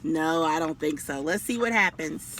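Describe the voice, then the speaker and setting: A woman storyteller reading a picture book aloud to children and pausing to ask them questions. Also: low-pitched, expressive, a bit bright, slight background noise.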